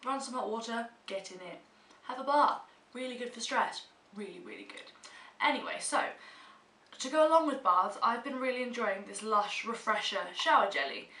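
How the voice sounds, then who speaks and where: A woman's voice: fairly high pitch, lively intonation, normal speed, sharp tone. A young British woman vlogger with a stuffy cold, chatting casually and emphatically to camera in a room at home. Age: young adult. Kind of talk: casual monthly favourites chat to camera. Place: small room at home, indoors. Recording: roomy echo, distant mic, faint hiss.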